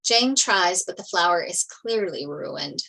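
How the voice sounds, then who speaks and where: A woman narrates a screenplay, reading out the stage directions. Her voice is a little low in pitch, in a table-read style. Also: animated, measured pace, vocal fry, bright, forceful tone, poor audio.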